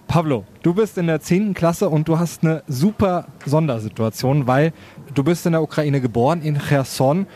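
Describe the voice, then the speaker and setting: A man's voice: on the high side, normal speed, mellow. A man working as a radio reporter, talking informally in an on-location interview report. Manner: informal, chatty. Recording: on-location recording with background noise.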